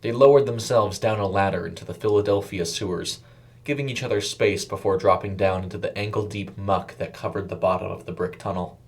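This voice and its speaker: Low-pitched man narrating a story. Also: fairly even intonation, brisk, a little mellow. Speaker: narrator and voice performer.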